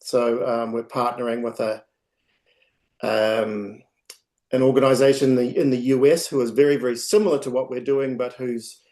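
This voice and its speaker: A man's voice: mid-range voice, fairly even intonation, moderate pace, a little mellow. A man with a New Zealand accent giving an informal talk on a video call, hesitant with many ums and restarts. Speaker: guest speaker, project founder.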